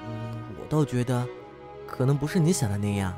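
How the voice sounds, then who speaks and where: An actor playing a playful yet wounded, proud young man in an expressive audio drama style. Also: mid-range voice, normal speed, over music, natural voice. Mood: hesitant. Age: young adult. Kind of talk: Chinese audio drama acting.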